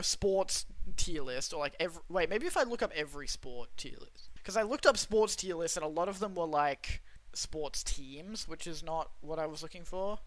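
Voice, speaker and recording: Rambling young Australian guy, bright voice. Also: sits high, dry recording, rough recording. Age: young adult. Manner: rambling, self-interrupting, swears casually. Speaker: streamer. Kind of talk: casual livestream chat reading chat.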